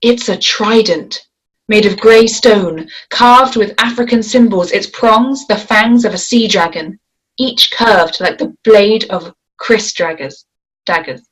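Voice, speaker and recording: A woman reading a screenplay aloud in a measured, descriptive way, giving action lines and scene headings. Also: mid-range voice, low-quality recording. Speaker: script reader. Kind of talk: screenplay table read.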